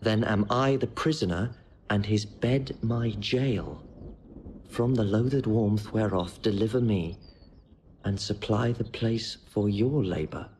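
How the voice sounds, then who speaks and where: An actor playing a gentle, loyal young nobleman disguised as a poor man, earnest and measured, in a Shakespearean audio drama. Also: fairly low pitch, a little hiss or hum.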